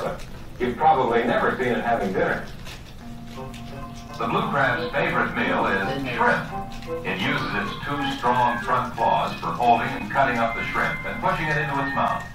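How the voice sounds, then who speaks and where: A man's voice: mid-range voice, lively intonation, moderate pace. A man reading scripted educational nature narration, explaining things and addressing the viewer directly. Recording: echoey, distant, music behind.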